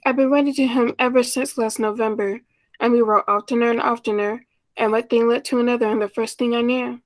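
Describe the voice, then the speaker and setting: A woman's voice: on the high side, steady tone, brisk, no room sound, close to an everyday voice, rough recording. A woman acting an anxious, romantic schoolteacher in her mid-thirties in a 1940s style radio play, earnest and hesitant. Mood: flustered, anxious.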